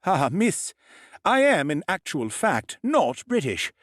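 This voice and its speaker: A man voicing a courteous old male automaton butler who speaks in a calm, formal way. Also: high voice, slow pace, no room sound, studio quality, pitched above the performer's own voice, heavily acted. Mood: calm polite.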